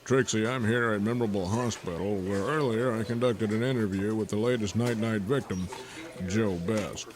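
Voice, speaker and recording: A man's voice: on the low side, normal speed, crowd noise, close to an everyday voice. A man playing an earnest, formal local news anchor and reporter in a parody newscast.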